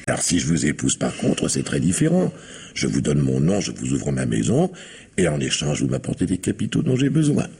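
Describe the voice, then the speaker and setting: A man's voice: rumbling low, huge pitch swings, moderate pace, creaky, warm tone, husky, full character voice. An actor playing a suave, domineering blackmailing businessman in a radio mystery, mock-courteous and imperious. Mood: suave, menacing. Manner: mocking mock-courteous, imperious when irritated. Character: sinister, suave, domineering blackmailing factory owner. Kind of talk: French radio mystery drama acting.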